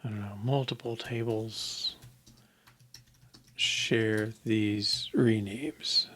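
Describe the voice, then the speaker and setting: A man's voice: fairly low pitch, steady tone, extremely slow, pushed and bright. An older man thinking aloud while coding live in a small home office, dropping into half-whispered asides. He says his voice is a bit off. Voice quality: voice a bit off, slightly strained. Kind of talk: casual live coding commentary.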